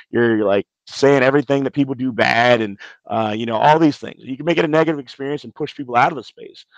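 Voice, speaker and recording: A man's voice: mid-range voice, no room sound, muffled recording. A man chatting casually as a podcast guest, earnest and emphatic, often posing questions and answering them himself. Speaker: podcast guest, gaming commentator. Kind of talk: casual chat.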